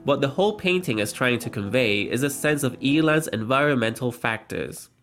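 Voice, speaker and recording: A man giving a calm, explanatory interview voice-over for an artist. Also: mid-range voice, normal speed. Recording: voice-over with loud music behind.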